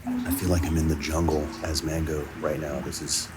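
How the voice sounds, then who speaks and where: A man speaking casually in a self-recorded video diary on location, thinking aloud in a halting way with repeated words. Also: very deep voice, little pitch movement, over loud music. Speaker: audio drama writer and producer. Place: coffee shops and outdoors, on location. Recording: noisy on-location recording with ambient sound.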